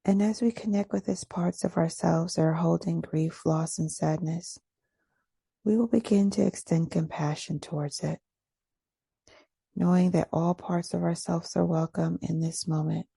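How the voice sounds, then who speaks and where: A woman leading a guided meditation in a soft, breathy voice, soothing and unhurried, pausing between short phrases. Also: lowish voice, steady tone, no echo. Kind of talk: guided meditation, gentle scripted instruction.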